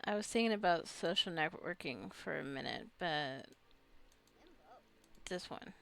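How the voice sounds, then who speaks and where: A woman's voice: lowish voice, expressive, unhurried, gravelly. A woman giving casual gameplay commentary, rambling and thinking aloud as she plays. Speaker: gamer narrating her own gameplay.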